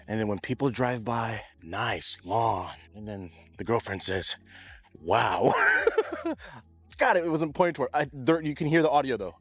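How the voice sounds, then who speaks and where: A young man talks to the camera out in his yard, relaxed and chatty. His voice sits at an ordinary pitch, and he drops in slang like bro and dude. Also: no echo. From Pacific Northwest. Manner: laid-back, chatty, slangy, says bro and dude a lot. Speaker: lawn care vlogger. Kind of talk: casual vlog talk to camera. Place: outdoors in a yard.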